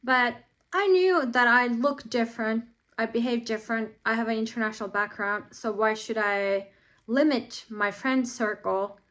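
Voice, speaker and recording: A woman's voice: fairly high pitch, moderate pace, fairly bright tone. A woman in her forties from Finland, frankly telling personal stories to camera, earnest and at times indignant. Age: 40s. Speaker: vlogger.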